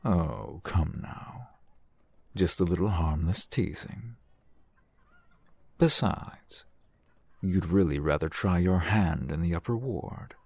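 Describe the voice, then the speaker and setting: A man playing a genial, teasing, flirtatious fantasy shopkeeper in an ASMR roleplay, unhurried and wry. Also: animated, a little mellow, no room sound, exaggerated voice. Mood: playful teasing. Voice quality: low, gravelly, husky. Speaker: shopkeeper character in audio roleplay.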